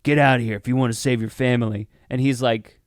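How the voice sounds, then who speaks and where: A man's voice: mid-range voice, steady tone, quick pace, no echo, studio quality. A man co-hosting a casual film review podcast, rambling and swearing as he acts out mock bits of dialogue. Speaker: podcast co-host.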